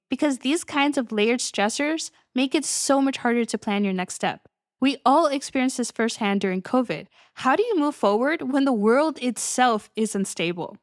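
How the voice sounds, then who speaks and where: A woman's voice: fairly high pitch, no room sound. Chatty young woman podcaster, dry close mic. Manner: casual, upbeat, laughs often between lines. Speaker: podcast host and coach. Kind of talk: solo podcast monologue.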